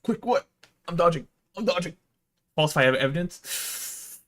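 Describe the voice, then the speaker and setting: A man's voice: a little high, expressive, normal speed, a bit bright. A man doing casual game stream commentary, swearing freely and ranting in exasperation as he plays. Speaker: streamer playing and reading a visual novel aloud.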